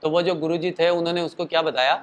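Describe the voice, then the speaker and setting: A man's voice: fairly high pitch, level tone, quick pace. A man teaching singing and voice use in a casual spoken lesson, explaining at length, with a throat tired from a show the night before. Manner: explanatory, chatty, demonstrates calls and sung notes.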